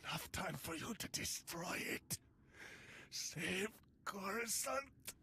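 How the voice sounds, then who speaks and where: A voice actor playing a dying, wounded survivor who gasps out an urgent warning in a halting, breathy whisper. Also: medium pitch, lively intonation, sharp tone, exaggerated voice. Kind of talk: video game dialogue.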